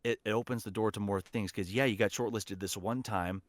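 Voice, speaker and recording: A man's voice: fairly low pitch, steady tone, fairly quick, no room sound. Encouraging man giving career advice, dry close sound. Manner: encouraging, emphatic with repeated key phrases. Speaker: voice actor and teacher, podcast guest. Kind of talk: conversational interview advice.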